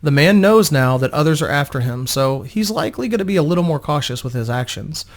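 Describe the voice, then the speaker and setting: A man's voice: fairly high pitch, lively intonation, a little fast. A man voicing a composed, protective female adventurer in a fantasy story, reassuring and matter-of-fact. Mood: calm, planning. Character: composed, protective woman adventurer, soothing and decisive. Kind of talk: dramatic fantasy story reading.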